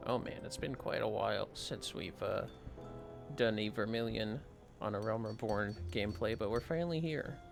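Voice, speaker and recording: A man hosting a game livestream, giving casual, rambling commentary in a soft, hushed voice. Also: on the low side, normal speed, gravelly. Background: music.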